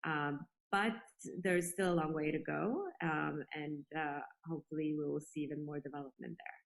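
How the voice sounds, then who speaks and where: Woman, from Poland, slightly low voice, interview guest, earnest and reflective, conversational. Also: steady tone, normal speed, gravelly, low-quality recording. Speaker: interview guest, space industry executive.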